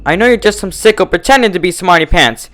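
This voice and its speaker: A young female pony acted out by a man speaks in a raised, agitated voice in a horror story. She is scared yet defiant, snappy and pleading, with lively swings over faint noise. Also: high voice, quick pace, voice pushed up. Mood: panicked anger. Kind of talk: horror fan fiction reading.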